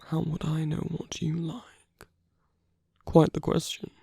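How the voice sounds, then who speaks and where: Suave sly villain voice actor playing it, real slow and husky, up close asmr flirting, super dry sound. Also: medium pitch, completely flat delivery, dark tone, no room sound. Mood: seductive, teasing. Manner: slow, flirtatious, teasing, drifting into whispers. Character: suave, seductive villain at a masked party, sly and theatrical. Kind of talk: ASMR romantic roleplay audio. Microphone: close ASMR microphone.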